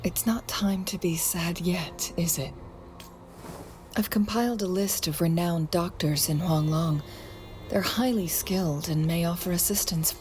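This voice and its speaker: Game role: actress as poised, gracious noblewoman, lower register, soft measured delivery, sly teasing edge, music underneath. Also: lightly acted. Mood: gentle, reassuring. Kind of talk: video game voice acting.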